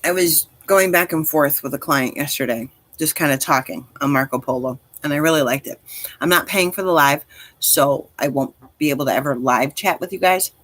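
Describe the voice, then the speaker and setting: A woman's voice: sits low, moderate pace, fairly bright tone, no echo. A woman in her forties chatting casually on a livestream as host, speaking directly to listeners in an encouraging, reassuring way. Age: 40s.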